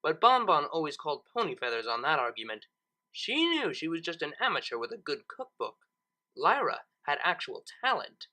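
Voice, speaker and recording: Female story narrator. Slightly low voice, animated melody, expressive scripted reading of fiction. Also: moderate pace.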